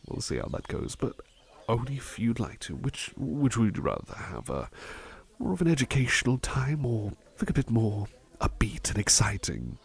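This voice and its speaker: An attentive butler played by a man in an ASMR roleplay, with a lowish voice full of soft fry. His pitch moves around in a lively way as he rambles gently and hesitantly. He is courteous and eager to please. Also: heavily acted.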